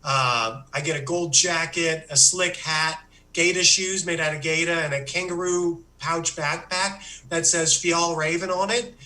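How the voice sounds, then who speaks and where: A man's voice: steady tone, unhurried, few pauses, sharp tone. A man putting on an earnest Australian blowhard. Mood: boastful. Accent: put-on Australian. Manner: rambling, earnest, self-pitying pitch. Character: boastful washed-up Australian man, pitching. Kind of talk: comedic monologue.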